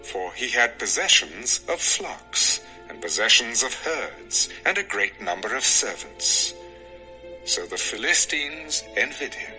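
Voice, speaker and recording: A man narrating a dramatized scripture recording in a measured, expressive storytelling manner. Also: fairly low pitch, rough recording. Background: music.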